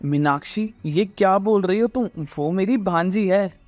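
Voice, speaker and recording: A man's voice: sits high, a little slow, a little mellow, no echo, soft background music. A man playing a protective, fair-minded father who speaks earnestly and firmly in a moral story. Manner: earnest, firm.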